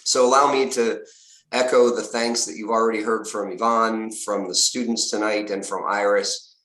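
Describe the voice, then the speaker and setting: A slightly hoarse man, a university fundraising executive, giving upbeat prepared remarks on a video call with frequent uh fillers. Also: on the low side, level tone, moderate pace, fairly bright tone. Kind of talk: prepared remarks at a virtual donor event. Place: home or office on a video call. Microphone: video call mic. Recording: roomy video call audio.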